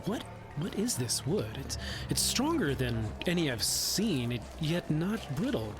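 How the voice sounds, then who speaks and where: High-voiced, halting young-hearted blacksmith. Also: male voice, sing-song, music in the background. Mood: curious, concerned. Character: anxious, curious male blacksmith adventurer. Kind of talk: audio drama acting.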